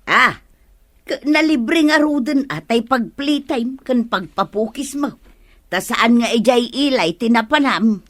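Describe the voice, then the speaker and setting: A woman's voice: a little high, animated, measured pace. An actress playing an indignant, gossipy, opinionated village woman in a radio comedy drama, with an Ilocano Filipino accent. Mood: prying.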